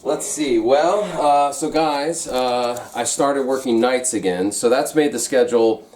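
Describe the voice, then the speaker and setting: A man with a mid-pitched voice talks casually to vlog viewers. He rambles in a joking, informal way, with slight noise behind him. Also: a little slow, darkish tone. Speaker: vlog co-host.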